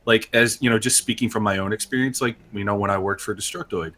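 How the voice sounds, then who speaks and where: A man's voice: on the low side, fairly even intonation, fast, a bit bright, slight background noise. A man co-hosting a casual podcast, loose and self-deprecating, filling gaps with 'you know'. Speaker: podcast co-host. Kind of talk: casual chat.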